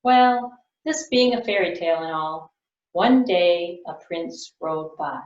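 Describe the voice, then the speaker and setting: A woman librarian narrating a fairy tale for children in an expressive storytelling manner. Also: on the low side, slow, slight background noise. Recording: dull lo-fi recording.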